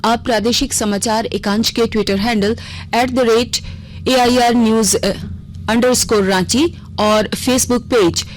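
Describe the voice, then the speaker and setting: A woman's voice: on the high side, fairly even intonation, takes it slowly, a little hiss or hum, rough recording. A woman reading a scripted regional news bulletin on the radio as the news reader.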